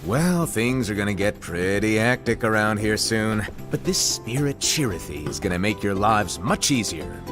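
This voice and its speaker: Breezy, lively low-voiced master, played by a man. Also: normal speed, few pauses, lightly acted. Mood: breezy, playful. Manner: casual, whimsical, explanatory. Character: playful, breezy, eccentric male master teacher. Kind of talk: animated fantasy film dub acting. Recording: faint music behind the voice.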